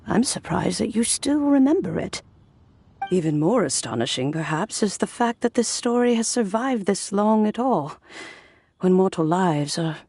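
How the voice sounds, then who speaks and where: An actress playing a gracious, kindly elderly woman in a video game, genteel and gently amused. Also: mid-range voice, a little hiss or hum. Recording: music behind the voice.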